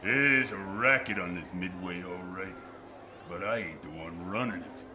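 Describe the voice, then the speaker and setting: Male actor as tough carnival barker, irritable, gruff slang, old-time radio drama, clear background noise. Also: deep voice, moderate pace, natural voice. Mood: irritable, bitter. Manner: gruff, slangy 1940s hardboiled talk. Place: live theatre stage before an audience. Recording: live stage recording with clear noise.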